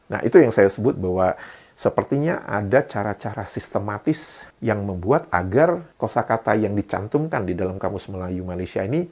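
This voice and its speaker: Indonesian guy explaining stuff to viewers, low husky voice, asks rhetorical questions. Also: a little mellow. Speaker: video host and commentator. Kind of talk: casual explanatory commentary to camera.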